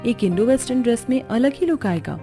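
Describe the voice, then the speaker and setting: A woman's voice: on the high side, animated, fairly quick, mellow, soft background music. A woman voicing a cheerful, industrious young daughter-in-law in a cartoon story, talking in a friendly, eager way. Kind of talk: Hindi cartoon story dialogue.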